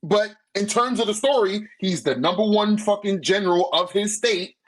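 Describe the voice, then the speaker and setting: Male, very high-set voice, casual argumentative talker, heavy slang, emphatic delivery. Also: moderate pace. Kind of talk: casual debate about manga.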